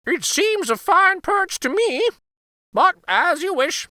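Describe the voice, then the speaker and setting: Man voicing a high, swooping, grand firebird. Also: big pitch swings, slow, pushed and bright, no echo, studio quality, exaggerated voice. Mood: breezy, assured. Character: grand, motherly female firebird, magical and kindly imperious.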